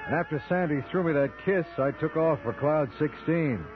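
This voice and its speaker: An actor playing a dry, flippant, hard-boiled crime-solving hero in an old radio drama. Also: male voice, a little high, moderate pace, over music, close to an everyday voice. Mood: wry narration. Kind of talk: 1940s-50s radio crime acting. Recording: dull old radio recording.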